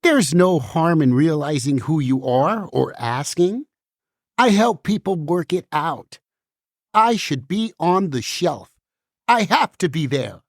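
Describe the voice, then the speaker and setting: Slow, plaintive puppet book, voiced by a performer. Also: medium pitch, animated, no echo, clean studio sound. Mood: wistful, plaintive. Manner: deliberate, plaintive. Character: wistful, wronged banned book in hiding. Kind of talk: theatrical musical puppet show dialogue.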